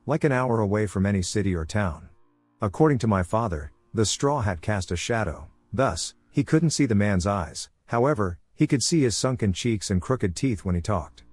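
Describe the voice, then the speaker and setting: Low-voiced man reading horror stories. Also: husky, no room sound. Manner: calm, measured storytelling. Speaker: narrator reading listener stories. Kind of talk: scripted horror story narration.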